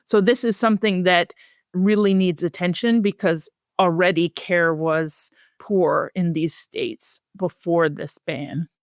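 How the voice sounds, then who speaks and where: A woman speaking as an expert guest in an interview, explaining research findings in a measured, serious, explanatory way. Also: lowish voice, fairly even intonation, dry recording, studio quality.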